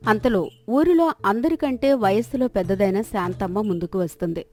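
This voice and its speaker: A woman's voice: medium pitch, moderate pace, darkish tone. A woman narrating a scripted folk story. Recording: music behind the voice.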